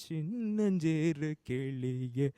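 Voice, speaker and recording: Audition singer, slow classical style, mid-pitched, smooth mellow tone, dry. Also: expressive, no room sound. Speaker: singing contestant.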